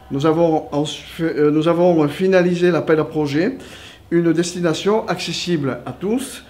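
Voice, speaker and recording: A man giving a prepared, formal presentation as a tourist office representative, in a measured, official manner and partly reading it out. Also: a little high, a little mellow.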